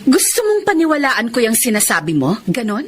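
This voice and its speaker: Hurt but firm filipina wife, radio drama, high swoopy voice, some noise behind. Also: female voice, huge pitch swings, a little fast. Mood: cold, accusing. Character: wronged wife confronting her cheating husband, hurt but resolute.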